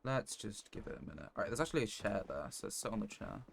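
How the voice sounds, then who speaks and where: A man's voice: on the low side, lively intonation, fast, vocal fry, a little hiss or hum. A man livestreaming a video game, casually talking through his plans to viewers and swearing now and then. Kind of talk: casual live game commentary.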